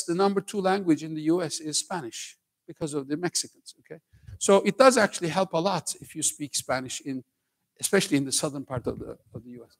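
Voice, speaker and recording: An older man from Turkey with a slight Turkish accent, lecturing students on stage in a conversational way, often checking in with "okay?" and joking with the audience. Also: sits high. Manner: conversational, keeps asking "okay?", jokes with the crowd. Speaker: professor giving a talk to students. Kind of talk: lively lecture to a school audience. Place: large auditorium stage.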